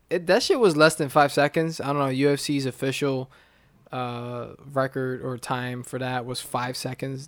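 Excitable, foul-mouthed man chatting. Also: medium pitch, no echo. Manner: swears freely, excitable, rambling with restarts. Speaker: podcast co-host. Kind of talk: casual chat about fights.

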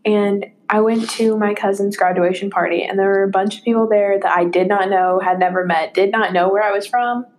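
A woman's voice: medium pitch, steady tone, brisk, hardly any pauses. A woman from Tennessee with a Southern accent, co-hosting a podcast in a casual, wry, self-mocking chat. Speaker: podcast co-host.

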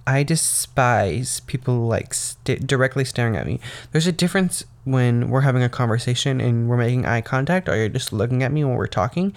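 A young man hosting his own podcast, talking casually and rambling with lots of "um" and "like", his voice slightly raspy and tired from getting up very early. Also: medium pitch, lively intonation, moderate pace, few pauses. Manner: rambling, meandering talk full of "um" and "like". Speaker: podcast host. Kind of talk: casual solo podcast monologue.

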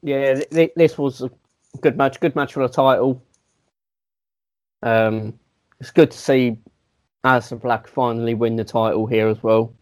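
Male co-host, mid-pitched, rambling self-mocking storyteller. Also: steady tone, measured pace, pauses often, a little mellow. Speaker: podcast co-host. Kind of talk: casual chat, anecdote telling.

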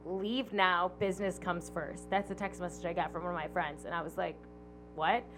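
A woman's voice: fairly low pitch, little pitch movement, moderate pace, music in the background, rough recording. A woman in her late twenties hosting a podcast, telling a story in a chatty, casual way and swearing freely. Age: 20s. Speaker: podcast host. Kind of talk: casual chat.

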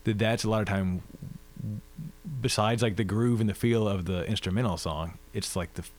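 Low-voiced man, easygoing talk. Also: moderate pace. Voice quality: low-register voice. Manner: relaxed, thoughtful, frequent "like" fillers. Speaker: musician guest. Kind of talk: casual interview chat.

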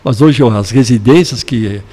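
Man, 50s, São Paulo accent, pastor guest on a podcast, affable storyteller, mid-pitched voice. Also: normal speed, warm tone, husky. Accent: São Paulo Portuguese. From born in Porto Alegre, raised in São Paulo. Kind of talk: casual podcast conversation.

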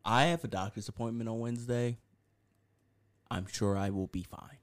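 A podcast co-host with a deep voice, chatting casually and joking in a rambling, animated way. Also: moderate pace.

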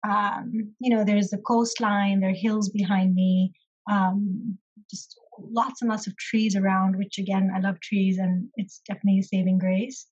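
Soft-spoken woman with a husky voice. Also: mid-range voice, level tone, highs cut off. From Pakistan. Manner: thoughtful, many ums and soft pauses. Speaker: therapist, interview guest. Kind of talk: casual interview conversation.

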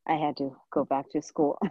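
A woman's voice: sits low, mellow, breathy edge, no echo. A woman in her forties with a Zimbabwean accent, chatting casually as a guest in an interview, on a slightly muffled recording. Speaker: podcast guest, nurse practitioner.

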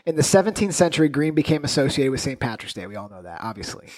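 A man's voice: mid-range voice, sing-song, fast talker, darkish tone. A man in his thirties running a casual trivia game among friends, teasing the players and joking as he reads the questions. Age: 30s. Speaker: quiz host. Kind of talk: casual group chat.

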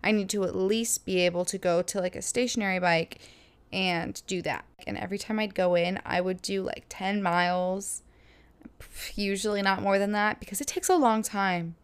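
A woman in her twenties from Florida, telling a casual story in a chatty, lively way with many fillers and laughs. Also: medium pitch, moderate pace, clean tone. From Florida, USA. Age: 20s. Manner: chatty, animated, lots of "like" and laughs. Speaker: vlogger.

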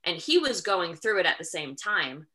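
A woman's voice: lowish voice, sing-song, moderate pace, sharp tone. A young woman in her twenties, a gym coach, answering interview questions with earnest enthusiasm.

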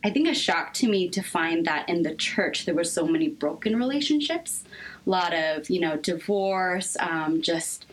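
A young adult American woman talking candidly as an interview guest, thoughtful and halting in her delivery. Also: fairly low pitch, expressive, rough recording. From United States, raised in Seattle.

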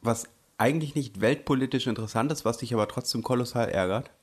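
A man's voice: medium pitch, darkish tone, slightly rough. A man co-hosting a podcast in casual banter, chatty and full of fillers, with a scratchy throat, recorded on a studio mic. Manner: chatty, many fillers, quick laughs. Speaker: podcast co-host.

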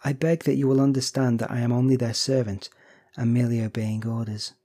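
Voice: medium pitch, level tone, brisk, dark tone, husky, natural voice. A storyteller voicing a reluctant messenger who brings bad news in a formal, stiff manner. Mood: grave formality.

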